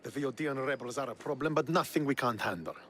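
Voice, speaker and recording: Game cutscene: actor as a resentful army commander, sneering, swinging pitch, faint ambience. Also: male voice, animated, fast talker, fairly bright tone, slight background noise, natural voice. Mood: scornful. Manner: scornful, resentful. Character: bitter, hostile male Spartan commander. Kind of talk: video game cutscene acting.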